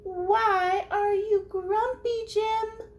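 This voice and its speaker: Woman putting on a very high, cheery lemur. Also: fairly even intonation, very slow, a little hiss or hum, heavily acted. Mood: cheerful curiosity. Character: cheery, curious male lemur.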